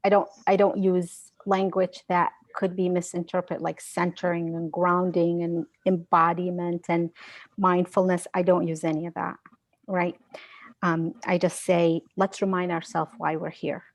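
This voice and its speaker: A woman's voice: lowish voice, little pitch movement, unhurried, no room sound. A woman speaking as a guest science educator in an online seminar, earnest and conversational, with frequent restarts.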